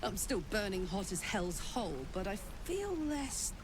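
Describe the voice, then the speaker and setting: A woman playing a brash, warm-hearted tiefling warrior in a video game, blunt and cheeky in her slangy talk. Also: mid-range voice, very animated, unhurried, background noise. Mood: relieved, fired up.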